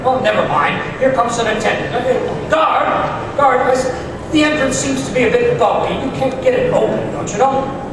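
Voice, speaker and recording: A man playing a bumbling, good-natured English gentleman sidekick, blustering and stammering in old-time radio drama style. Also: very high-pitched, normal speed. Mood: blustery. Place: live stage performance in a hall. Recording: very echoey hall, distant mic, clear noise.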